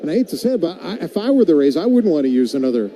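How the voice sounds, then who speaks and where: Male play-by-play announcer, very soft warm timbre, wry, conversational, live baseball call, faint ambient noise. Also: fairly high pitch, big pitch swings, rapid-fire. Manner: wry, tongue-in-cheek.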